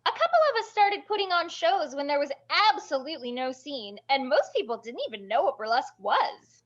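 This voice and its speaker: A woman playing a brash, bubbly, blunt burlesque veteran in an animated table read, gushing and bossy with heavy emphasis. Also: sits high, a little fast, highs cut off. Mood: confident, challenging.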